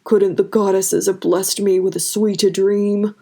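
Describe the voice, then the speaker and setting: Blustering, exasperated male mayor of a small fantasy village, played by a woman narrator, gruff and groaning. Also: mid-range voice, level tone, a little slow, dark tone.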